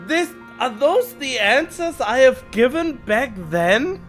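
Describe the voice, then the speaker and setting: Female game streamer, casual live reaction, slow pace, informal, self-questioning, faint music behind. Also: high-pitched, sing-song.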